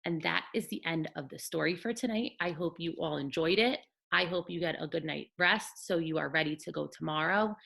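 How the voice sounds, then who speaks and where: A woman teacher reading a children's bedtime story aloud in an expressive, deliberate storytelling manner. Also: low voice, gravelly.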